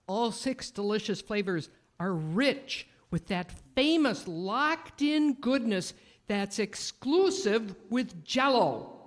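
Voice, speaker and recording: A woman reading a scripted 1930s-style radio advertisement in a folksy, emphatic manner. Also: medium pitch. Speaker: commercial announcer.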